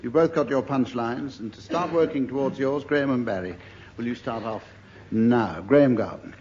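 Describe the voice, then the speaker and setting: A man's voice: medium pitch, moderate pace, a little mellow. A man chairing a comedy panel game, speaking as a broadcast host. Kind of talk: broadcast panel show hosting. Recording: lo-fi radio recording, noisy live show.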